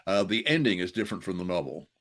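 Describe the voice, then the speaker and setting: A man co-hosting a show, discussing old radio drama casually in a hesitant, halting way. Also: low-pitched, sing-song, fairly bright tone. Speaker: podcast co-host.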